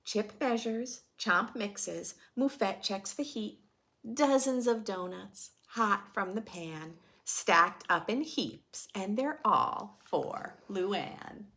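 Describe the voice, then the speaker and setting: A woman reading a children's storybook aloud in a dramatic, playful teacher's way, pausing to put questions to the listener. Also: mid-range voice, fairly bright tone. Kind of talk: expressive storybook read-aloud with teaching asides.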